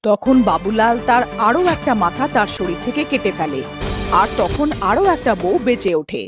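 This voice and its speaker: A woman's voice: medium pitch, expressive, normal speed, over music. A woman narrating a scripted cartoon story as its storyteller.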